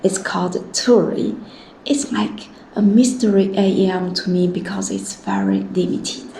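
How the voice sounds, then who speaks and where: A woman's voice: lowish voice, very animated, unhurried, dark tone. A woman presenting a casual product first look as a video host. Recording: roomy echo, clear background noise.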